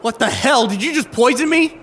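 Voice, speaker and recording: A man acting as a desperate young survivor in a zombie audio drama. His voice is very high for a man, jittery and stammering, as he rambles on over faint background noise. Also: brisk, a bit bright. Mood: shaken, rambling. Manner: stammering, frantic.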